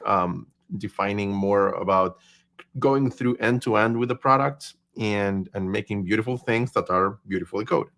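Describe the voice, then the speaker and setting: A man's voice: on the low side, a bit bright. A man from Argentina, a guest on a livestream, chatting upbeat and talkative with light humor over a video call. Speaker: agency CEO, guest. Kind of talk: casual livestream chat.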